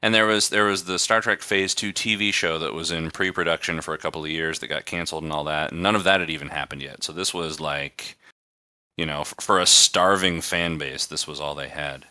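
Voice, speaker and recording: Deep, bright-voiced man chatting on a podcast. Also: fairly even intonation, a little fast, dry recording, clean studio sound. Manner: rambling, conversational. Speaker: podcast host. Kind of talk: casual chat.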